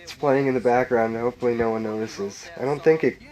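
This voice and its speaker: A man's voice: medium pitch, fairly even intonation, a little fast, darkish tone, poor audio. A man, a filmmaker, chatting enthusiastically through a commentary track and filling his talk with "like" and laughs. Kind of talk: director's commentary chat.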